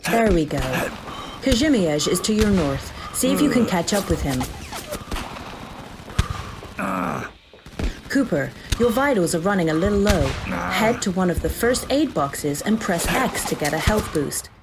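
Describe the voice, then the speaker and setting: An actress playing a brisk, bossy female AI implant with a wry, matter-of-fact manner, in a game-style audio drama. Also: on the low side, expressive, noisy background. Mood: matter-of-fact, prompting. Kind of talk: scripted audio drama parodying video game tutorials.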